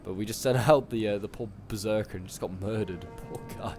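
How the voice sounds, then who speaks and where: A man with a bit of a cold, chatting casually through strategy-game commentary, rambling along and then bursting out excitedly at big moments. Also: lowish voice, brisk, faint music behind. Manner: chatty, with sudden excited outbursts at big plays. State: sniffly, coming down with a cold.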